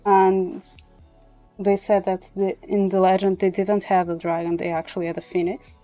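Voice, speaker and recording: Mellow-voiced woman, native Portuguese speaker. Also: mid-range voice, level tone, faint music behind. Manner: halting, searches for English words. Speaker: livestreamer. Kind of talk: casual chat. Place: indoor livestream with music behind.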